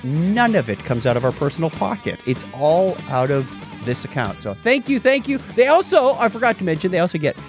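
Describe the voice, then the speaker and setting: Animated male podcast host, lively pitch swings. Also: a little fast, few pauses, soft background music. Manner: jokey, teasing. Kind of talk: casual podcast chat with patron thank-yous.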